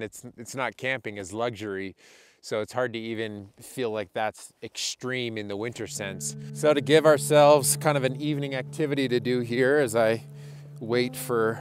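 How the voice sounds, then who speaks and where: A man's voice: medium pitch, smooth, dry recording. Candid cold man vlogging in a tent. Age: late 20s. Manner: thoughtful, candid, self-deprecating, halting restarts. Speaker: outdoor filmmaker. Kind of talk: casual reflective vlog narration. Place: inside a small tent on a snowy mountain summit.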